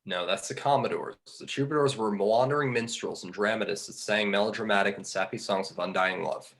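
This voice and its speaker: A man playing a skeptical, bookish fallen angel in a film script table read, articulate and measured. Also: lowish voice, fairly bright tone. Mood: explanatory.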